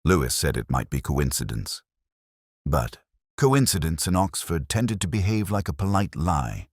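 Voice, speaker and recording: Brief: male narrator, very low voice with expressive swings, measured and brooding, for scripted detective fiction. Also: breathy edge, no room sound, clean studio sound. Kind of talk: scripted first-person detective story narration.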